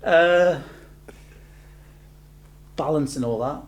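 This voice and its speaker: A man's voice: fairly high pitch, drawn-out words. A man bantering as a quiz contestant, casual, jokey and blunt.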